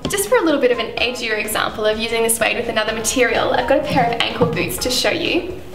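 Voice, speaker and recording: Young woman presenter, gravelly edge, chatty product demo in an echoey room. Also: fairly high pitch. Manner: friendly, chatty, with frequent fillers. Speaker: shoe brand presenter. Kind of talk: product walkthrough to camera. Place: indoor room, seated in armchair.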